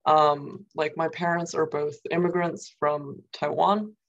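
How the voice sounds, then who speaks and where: Young New York woman, gravelly voice, rambling interviewee, slightly dull lo-fi sound. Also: low voice, flat delivery, measured pace. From New York, USA. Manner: rambling, many fillers and "like". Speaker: guest poet. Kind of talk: casual interview.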